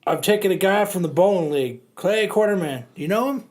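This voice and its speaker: Blunt henchman coworker, read by a man, high-pitched, offhand and dismissive, comic reading. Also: expressive, fast, a little mellow. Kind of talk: amateur comedic comic book reading.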